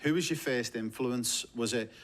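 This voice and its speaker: A man's voice: medium pitch, normal speed. A man hosting a casual interview, asking conversational questions and often giving short agreeing replies. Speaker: interviewer, coach.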